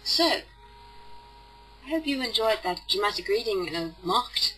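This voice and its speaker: A woman's voice: medium pitch, expressive, slow, sharp tone, breathy edge, soft background music, low-quality recording. A woman giving a theatrical, sarcastic dramatic reading of a novel as an amateur narrator. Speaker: amateur book reader. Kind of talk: mock-dramatic audiobook narration.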